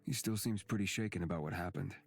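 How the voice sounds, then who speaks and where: An actor playing a blunt, grumbling but caring travelling companion in a visual novel game, with a dry, informal delivery. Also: male voice, deep voice, fast talker, gravelly, soft background music. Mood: concerned.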